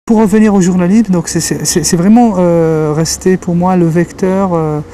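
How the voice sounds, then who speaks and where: Thoughtful man, mellow voice. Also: sits high, steady tone, moderate pace, slight background noise. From Algeria. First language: Kabyle. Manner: thoughtful, searching for words. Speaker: interviewee, writer and journalist. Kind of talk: reflective interview talk.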